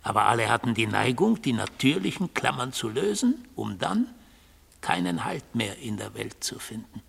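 An actor in a German radio drama playing a mystical god on trial, a fanatical defender of order who speaks solemnly and insistently, at times dropping to a hushed intensity. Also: male voice, medium pitch, very animated, moderate pace, creaky, breathy edge, exaggerated voice. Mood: measured self-justification. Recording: long hall-like reverb.

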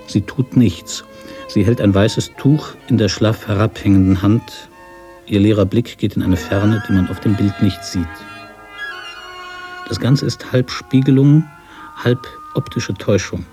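A man with a low-pitched, dark and relaxed voice reads literary prose as a radio drama narrator. His delivery is measured and story-like. Faint music sits behind the voice.

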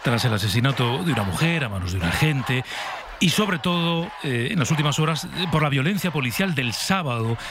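A man's voice: medium pitch, lively intonation, a little fast, background noise. A man with an accent from Spain presenting morning radio news with casual banter.

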